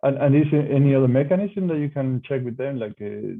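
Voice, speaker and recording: A man's voice: mid-range voice, animated, quick pace, phone-quality sound. A man who speaks English as a second language, talking casually on a call and hesitantly searching for words, over thin call audio. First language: not English. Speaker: participant in a group discussion. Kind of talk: casual discussion on an online call.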